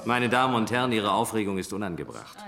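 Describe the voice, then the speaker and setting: A man's voice: fairly low pitch, expressive, fast talker, creaky. An actor playing an evasive, flustered official spokesman in a German radio play, stammering and repeating himself to placate reporters. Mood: flustered, placating. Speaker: press spokesman. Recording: loud crowd of voices behind.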